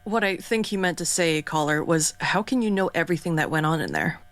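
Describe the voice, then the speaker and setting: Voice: mid-range voice, very animated, brisk, a bit bright, no echo. An actor playing a skeptical radio co-host who talks bluntly and sounds exasperated, with a faint mains hum behind.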